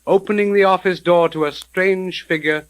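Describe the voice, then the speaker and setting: A man's voice: sits high, fairly even intonation, normal speed, a little hiss or hum, lightly acted, rough recording. A man narrating as the formal, theatrical announcer of a 1930s radio mystery serial, with a declamatory delivery. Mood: dramatic, suspenseful. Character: dramatic male radio mystery announcer recapping the story.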